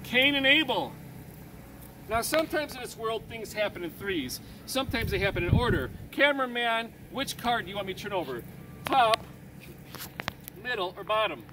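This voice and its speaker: Fifties guy from chicago doing card tricks on the sidewalk, high voice, kinda far from the mic, street noise loud behind. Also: sing-song, moderate pace. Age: 50s. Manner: chatty showman, counting aloud and quizzing onlookers. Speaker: street magician and preacher. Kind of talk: outdoor card trick demonstration with banter. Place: residential street outdoors, kneeling on the sidewalk. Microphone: camera mic from a few meters away.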